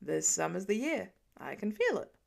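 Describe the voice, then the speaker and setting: Woman voicing a gruff, teasing farmer dad, creaky. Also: medium pitch, big pitch swings, moderate pace, full character voice. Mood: grumbling, playful. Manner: grousing, hammy mock indignation.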